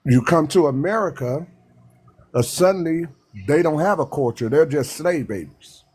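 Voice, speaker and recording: Guy talking hard on a live panel, husky and kinda high, keeps restarting. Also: animated, darkish tone. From Texas. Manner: emphatic, repetitive, halting restarts. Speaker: panel speaker. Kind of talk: impassioned live panel discussion.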